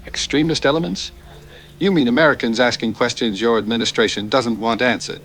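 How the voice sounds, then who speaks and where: A man's voice: medium pitch, brisk, a bit bright, slight background noise, natural voice. A man playing a combative, insistent populist talk show host in a news debate drama. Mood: accusing, defiant. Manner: insistent, confrontational.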